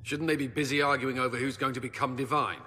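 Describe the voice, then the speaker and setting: A man's voice: mid-range voice, steady tone, quick pace, pushed and bright, big-room sound, natural voice. An actor playing an earnest male military commander who speaks firmly and directly.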